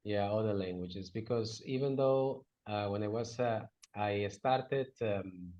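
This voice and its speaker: A man's voice: fairly low pitch, measured pace, mellow. A man from Venezuela, a native Spanish speaker, answering interview questions in English. He speaks hesitantly, with fillers, restarts and slips in grammar. The recording sounds dull and lo-fi. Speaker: guest.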